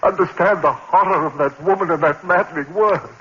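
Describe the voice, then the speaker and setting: A man's voice: sits high, brisk, a bit bright. An actor playing a tormented male lecturer who narrates in a brooding voice and breaks into frantic cries as he slides into madness, in 1940s radio acting style. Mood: brooding narration. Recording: dull old radio recording with faint hiss.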